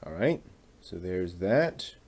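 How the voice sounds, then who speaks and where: A man casually talking through a hands-on hobby build, halting and muttering to himself as he works. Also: low-pitched, big pitch swings, darkish tone, slightly rough. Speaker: hobby channel host building a model kit on stream.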